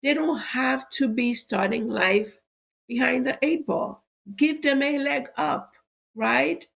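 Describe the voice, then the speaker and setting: A middle-aged woman who sells insurance, hosting a live show and sounding stuffy and a bit cracky from allergies, making a persuasive pitch that leans on "right?" as a tag. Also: takes it slowly, gravelly, fairly bright tone. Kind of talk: live-stream sales talk.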